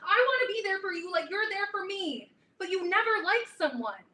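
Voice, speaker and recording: Woman acting an earnest, hurt teenage girl, very high. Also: very animated, bright, forceful tone, exaggerated voice. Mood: defensive. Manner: pleading, halting, emphatic. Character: earnest, hurt teenage girl confronting her best friend. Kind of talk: staged play reading. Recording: echoey room, distant mic, faint noise.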